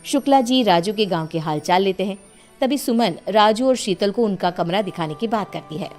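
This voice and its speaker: Woman narrator, medium pitch, light music behind. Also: lively intonation, moderate pace, darkish tone. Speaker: story narrator. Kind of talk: scripted storytelling narration in present tense.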